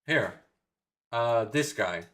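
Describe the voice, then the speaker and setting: A man's voice: mid-range voice, lively intonation, drawn-out words, background noise. A young Dutch man from the Netherlands hosting a livestream, chatting casually, rambling and laughing as he reads viewer comments. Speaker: livestream reaction host.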